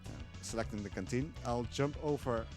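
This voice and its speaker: Relaxed, chuckling male speedrunner. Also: mid-range voice, moderate pace, over music. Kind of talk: casual live gameplay commentary.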